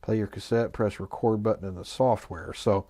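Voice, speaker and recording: Low-voiced man casually reviewing a gadget. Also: steady tone, normal speed, dark tone, no echo, clean studio sound. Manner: musing, hesitant, mildly skeptical. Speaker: host of an electronics review channel. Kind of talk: casual unscripted product review, thinking aloud.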